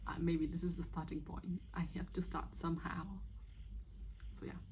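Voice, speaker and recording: A woman's voice: on the low side, dark tone, a little hiss or hum, low-quality recording. A woman in her twenties, from Myanmar, chatting casually and a little hesitantly through a first-video Q&A, with many fillers. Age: 20s. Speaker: vlogger.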